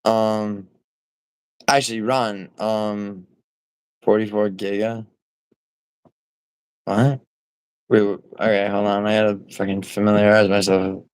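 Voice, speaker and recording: Low, nasal New man. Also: level tone, slow, long pauses, clean tone, no room sound, poor audio. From New York City. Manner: rambling, profane, full of fillers and restarts. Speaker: livestreamer. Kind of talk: casual livestream chat, reading viewer comments.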